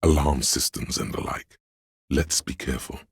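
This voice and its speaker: A man voicing a cool, cautious, principled police lieutenant in a video game, gravelly, controlled and deliberate. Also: very deep voice, fairly even intonation, no echo, clean studio sound, heavily acted. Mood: focused. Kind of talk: dramatic game dialogue, inner thoughts.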